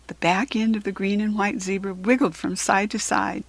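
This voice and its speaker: A woman with an American accent reading a children's book aloud in expressive narration, with a breathy voice. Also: mid-range voice, moderate pace, no echo.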